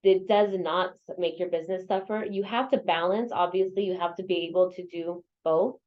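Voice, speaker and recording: A woman's voice: fairly low pitch, fairly even intonation, a little fast, phone-quality sound. A woman from El Paso, an agent who leads a local association, answering interview questions in an earnest way that is full of "you know" fillers. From El Paso, Texas. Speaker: real estate agent and local association president. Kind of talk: interview guest, casual conversation. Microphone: video or phone call.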